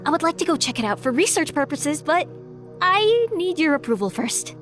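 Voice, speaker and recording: Eager, bookish female robot researcher for audio drama, played by a woman; high pitch, swooping, excitable delivery. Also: moderate pace, soft background music. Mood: urgent, excited. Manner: excitable, informal.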